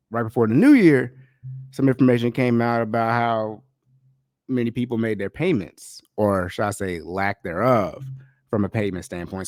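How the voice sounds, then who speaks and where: A man with a lowish voice hosts his own podcast in a relaxed, slangy manner. He sounds stuffed up and nasal from a lingering cold. The sound is close and dry with no room echo. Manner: loose, chatty, slangy delivery. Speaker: podcast host. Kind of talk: casual solo podcast talk. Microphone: podcast microphone.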